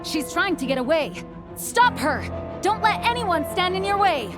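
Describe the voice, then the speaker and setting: An actress voicing an authoritative, level-headed knight commander with a firm manner in a game cutscene. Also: female voice, extremely high pitch, very animated, normal speed, music in the background. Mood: urgent command.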